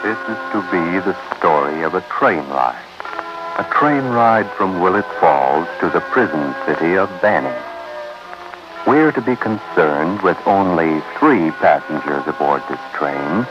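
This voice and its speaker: A man with a very deep voice delivers scripted suspense narration, slow and solemn. Every phrase is deliberate and dramatic. The muffled 1950s radio sound carries prominent music behind him. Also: animated.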